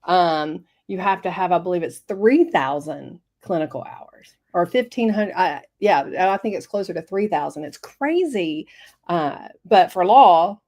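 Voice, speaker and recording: A middle-aged woman guest talking over a video call from her home office, her voice scratchy from bronchitis, often pausing with fillers. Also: lowish voice, lively intonation, a little mellow. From Tennessee. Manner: hesitant, many fillers. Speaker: guest, health coach.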